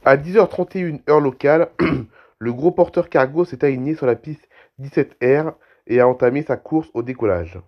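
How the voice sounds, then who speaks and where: A man with a cold reads aviation news aloud, clearing his throat and restarting phrases. His pitch is mid-range and his pace is steady. The recording is a little dull. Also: moderate pace. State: has a cold, coughing. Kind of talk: reading aviation news with commentary.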